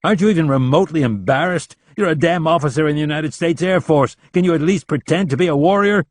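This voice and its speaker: A man's voice: medium pitch, expressive, fairly quick, a little mellow, dry recording, clean studio sound, pitched above the performer's own voice, natural voice. A man doing a brash, scornful male operative. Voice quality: blunt, scornful, cursing.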